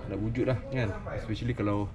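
A man's voice: lowish voice, steady tone, fast talker, over music. A young man with a Malaysian accent explaining technical things casually, hesitating and searching for words. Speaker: audio specialist. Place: small cluttered office, indoors.

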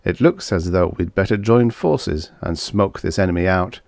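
A man's voice: low-pitched, animated, normal speed, creaky, a little mellow, no echo, clean studio sound. A man playing a bitter, sardonic American scientist in a story, with a blunt, wry, emphatic manner. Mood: urgent, frustrated.

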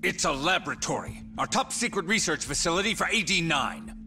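A man's voice: a little high, lively intonation, fairly quick, bright, forceful tone, full character voice. A man playing an imperious middle-aged government vice minister in a video game drama, pompous, formal and emphatic. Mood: indignant. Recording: music under the dialogue.

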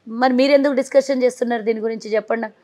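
A forceful woman politician fires back rhetorical questions at a fast clip, her voice sitting on the higher side. She speaks outdoors into a lapel mic. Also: steady tone. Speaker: party politician. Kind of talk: combative interview answers.

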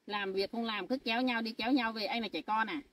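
A woman's voice: a little high, fast talker, a bit bright, recorded from a distance, a little hiss or hum, lightly acted. A woman playing a jealous young wife who talks in an insistent, accusing, threatening way in an amateur family skit. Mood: complaining. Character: jealous young wife, sharp-tongued and stubborn.

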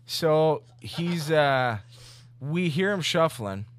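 Animated American guy with a stutter. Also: on the high side, extremely slow. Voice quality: stutters on some words. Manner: crude, joking, slangy. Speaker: comedy podcast co-host. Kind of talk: casual comedic banter and storytelling. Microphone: podcast microphone.

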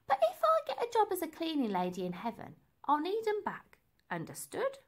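A woman voicing a scatty, dramatic but kind-hearted cleaning lady in a storybook, with exclamatory, drawn-out delivery. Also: very high-pitched, wildly swooping pitch, pitched above the performer's own voice, exaggerated voice. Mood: earnest, surprised.

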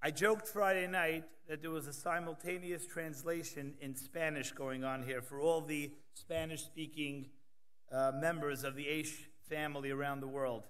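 A man's voice: a little high, fairly even intonation, normal speed, a bit bright, clear, resonant tone. A man in his thirties emceeing a conference, reading introductions from notes with joking asides at a podium microphone in a large hall. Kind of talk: scripted introductions with light jokes.

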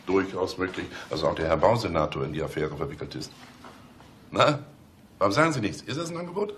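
A man's voice: low-pitched, expressive, a little fast, a bit bright, a little hiss or hum. A man acting in a German radio play as a smug, teasing middle-aged police chief, condescending and mock-solemn. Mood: conspiratorial.

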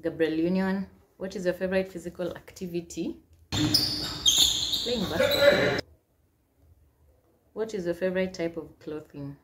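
A woman's voice: lowish voice, unhurried, pauses often, very noisy. A young Kenyan woman in her twenties, with a Kenyan accent, casually reading out get-to-know-me questions and answering them, with Swahili phrases mixed in. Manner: chatty, reads questions aloud then answers, mixes in Swahili phrases. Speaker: YouTuber. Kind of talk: casual Q&A vlog. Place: small indoor room, seated at a plain wall.

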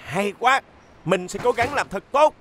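A man's voice: high voice, expressive, measured pace. A man voicing a modest, nervous young vehicle in a dubbed children's cartoon. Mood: hopeful. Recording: loud music over the voice.

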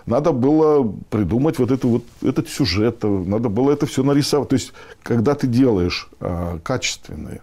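A man in his sixties giving conversational interview answers, explaining haltingly and posing rhetorical questions. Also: medium pitch, lively intonation, mellow. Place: meeting room, indoors.

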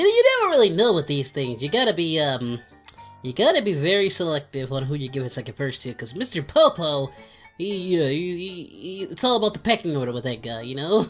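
A woman voicing an excitable cartoon hero hosting a reaction video, with animated, exclamatory casual commentary. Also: fairly low pitch. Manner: animated, exclamatory, repeats phrases.

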